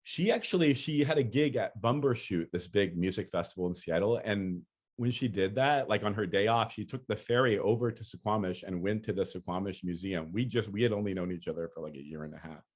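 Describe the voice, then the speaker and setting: A man with a very low voice speaks easily and unhurriedly. He pads his answers with "you know" and the odd laugh, and he sounds like he is thinking out loud. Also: fairly quick. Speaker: featured speaker, poet. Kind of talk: casual talk and Q&A.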